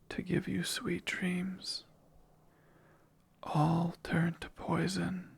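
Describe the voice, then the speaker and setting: Man doing a clingy cruel male sleep paralysis demon, ASMR roleplay, raspy breathy whisper, very slow drawl. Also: fairly high pitch, exaggerated voice. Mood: hushed, eerie. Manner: hushed close whispering, slow and teasing.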